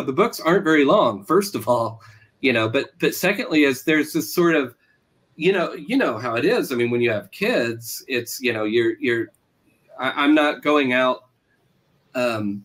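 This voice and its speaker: A middle-aged man answering as a guest author, conversationally, in halting phrases that circle and break off. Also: mid-range voice, animated, fairly bright tone. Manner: halting, circling, self-interrupting. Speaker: author being interviewed, teacher.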